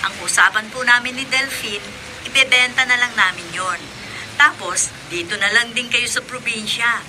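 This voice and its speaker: Woman as high-voiced married daughter, noisy track. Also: normal speed, natural voice. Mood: content, persuasive. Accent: Filipino. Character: respectful, content married grown-up daughter. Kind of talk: Filipino radio drama acting.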